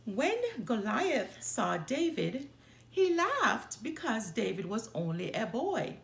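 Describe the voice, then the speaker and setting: A woman reads a children's storybook aloud in a highly animated, expressive way. Her delivery is slow and deliberate, with some creak in the voice. The room adds a noticeable echo. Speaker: storytime host reading aloud. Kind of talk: children's picture book read-aloud.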